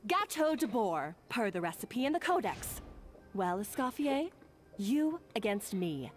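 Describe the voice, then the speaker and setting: Brief: a cocky young rival chef, taunting, lively delivery. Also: female voice, a little high, a little slow, faint music behind. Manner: taunting, challenging. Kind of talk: game voice acting.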